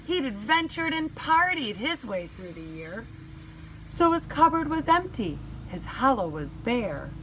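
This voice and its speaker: A woman reading a children's storybook aloud in an animated, dramatic way with deliberate emphasis. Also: on the high side, slight background noise, poor audio. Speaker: teacher reading a picture book.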